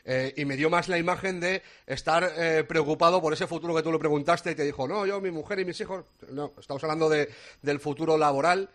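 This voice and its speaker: A man playing a sports radio pundit with a Spain Spanish accent. He speaks in a slightly high voice, keeps a conversational tone, and trails off into fillers and restarts while he works out his point. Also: brisk, fairly bright tone. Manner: conversational, hesitant with many fillers. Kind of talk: radio panel discussion.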